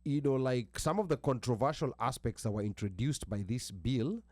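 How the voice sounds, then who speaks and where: American man reading aloud haltingly. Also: medium pitch, darkish tone, no room sound. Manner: reads haltingly with restarts, asks about pronunciation. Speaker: radio show host. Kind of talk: casual talk radio discussion, reading aloud.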